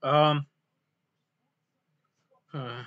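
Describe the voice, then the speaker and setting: A young man hosting his own podcast from a small home room on a headset, rambling casually, his voice going a little hoarse. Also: on the high side, fairly even intonation, extremely slow, lo-fi sound. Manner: rambling, loses his train of thought. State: voice going hoarse, clearing throat. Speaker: podcast host and game developer. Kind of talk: casual solo podcast talk.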